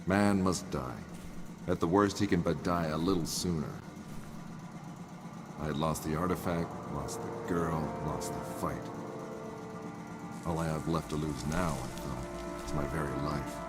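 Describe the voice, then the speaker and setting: An actor playing a cocky, wisecracking adventurer hero with an American accent and a defiant, dry manner in a video game. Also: male voice, extremely low pitch, a little slow, long pauses. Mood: grim. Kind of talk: video game cutscene acting. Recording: loud music behind.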